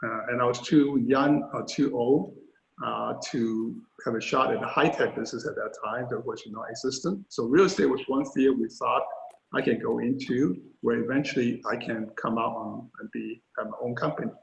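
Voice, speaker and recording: An older man with a gravelly, fry-tinged voice, speaking candidly and with self-deprecating humor. The sound is roomy and somewhat dull and lo-fi, like a room recording of an interview. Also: mid-range voice, moderate pace. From Hong Kong. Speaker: interview guest. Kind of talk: storytelling in a panel conversation.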